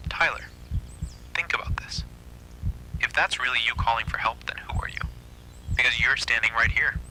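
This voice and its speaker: A man voicing a gentle but anxious young woman, careful, strained and breathy, in a horror story. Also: mid-range voice, moderate pace, in a whisper, rough recording. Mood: firm, worried.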